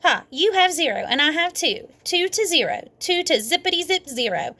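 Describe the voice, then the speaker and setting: A woman's voice: extremely high pitch, very animated, moderate pace, pushed and bright, pitched above the performer's own voice, full character voice. A woman voicing a bossy, boastful little schoolgirl in a storybook, taunting with emphatic repetition. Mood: gloating.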